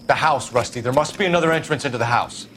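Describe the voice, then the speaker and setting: A man's voice: mid-range voice, fast talker, fairly bright tone, a little hiss or hum, close to an everyday voice. A man playing an earnest, upright police detective in a 1940s crime video game, speaking firmly and formally. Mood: urgent. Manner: firm, clipped, formal.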